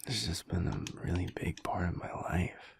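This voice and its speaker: A man playing a sad, wistful young alpha werewolf in an intimate audio roleplay, talking haltingly in a low, rumbly half-whisper. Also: creaky, breathy edge, full character voice. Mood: nostalgic, wistful. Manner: halting, hesitant, trailing off.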